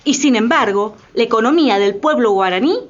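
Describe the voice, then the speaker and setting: Fairly high-voiced woman narrator. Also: lively intonation, fairly quick, lo-fi sound. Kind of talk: scripted dramatic documentary narration.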